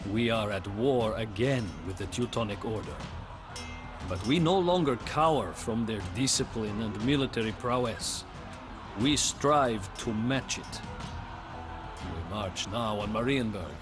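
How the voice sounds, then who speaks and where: An actor playing a solemn, grieving widowed medieval king, narrating his story in a game cutscene with grave, measured pauses. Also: on the low side, animated, music in the background. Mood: proud, resolute. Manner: grave, measured, pausing, often breathy at phrase ends. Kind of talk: dramatic first-person storybook game narration.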